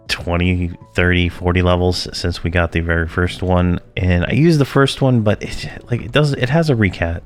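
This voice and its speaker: A man's voice: low-pitched, clean tone. A man losing his voice, talking casually and rambling through a game walkthrough with many fillers and restarts. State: losing his voice, a bit rough. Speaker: game guide creator.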